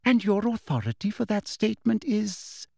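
A man voicing a sweetly menacing middle-aged woman official who speaks softly with a shrill edge. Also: big pitch swings, moderate pace, gravelly, no echo, studio quality, full character voice. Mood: icily polite. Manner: soft, deadly, with a shrill edge.

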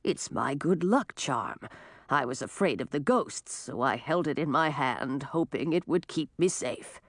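A woman voicing a frail old male beggar in a storybook, plaintive and falsely humble with drawn-out phrasing. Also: low voice, lively intonation, dry recording, clean studio sound. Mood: innocent pleading. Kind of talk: storybook character voice.

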